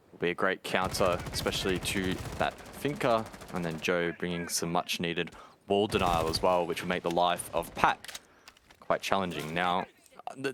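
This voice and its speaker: A man doing brisk play-by-play commentary on an esports match, his voice rising at the big plays. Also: background noise. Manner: brisk, rises in pitch at key plays. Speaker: esports caster.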